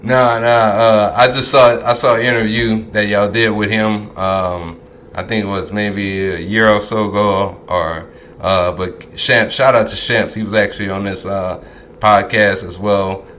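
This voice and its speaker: A man hosting a podcast interview, talking easygoing with lots of filler pauses. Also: fairly low pitch, steady tone, a bit bright, a little hiss or hum. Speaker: podcast host. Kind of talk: casual interview chat. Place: Dallas.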